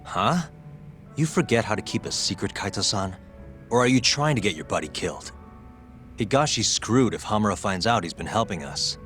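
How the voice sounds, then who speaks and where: Low-voiced, dry young male detective. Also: lively intonation, moderate pace, fairly bright tone, soft background music. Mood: accusing. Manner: dry, terse. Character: cool, wry young male detective in leather jacket. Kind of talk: video game cutscene acting.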